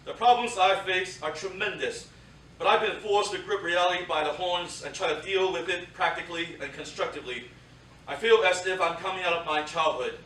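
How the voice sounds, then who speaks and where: Man acting earnest young man, bright, roomy stage. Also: sits high, fairly quick. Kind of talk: staged reading of a play. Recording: roomy, distant theatre recording, faint noise.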